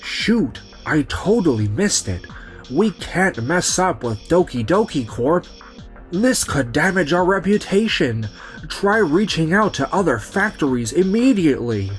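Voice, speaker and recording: A man's voice: a little high, very animated, a little slow, faint music behind. A man voicing a self-assured businessman in a manga romcom dub. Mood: stressed. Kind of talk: scripted manga dub acting.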